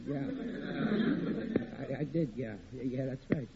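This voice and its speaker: An actor playing a blustering, sheepish working-class American husband, stammering through an old radio sitcom. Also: male voice, mid-range voice, a little slow, natural voice. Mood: sheepish, evasive. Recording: tinny, noisy vintage radio recording.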